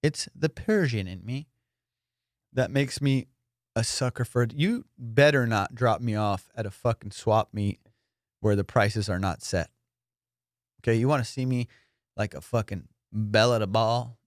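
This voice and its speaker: Man, comedian, podcast host, casual profane monologue, boastful, mid-pitched voice, dry close sound. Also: unhurried, no room sound. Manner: profane, boastful storytelling with excited bursts.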